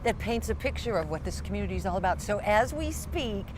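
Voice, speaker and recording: A woman's voice: big pitch swings, fast. Woman interviewer over noticeable background noise. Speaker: interviewer, show host. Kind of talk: conversational interviewing. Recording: clear low background rumble.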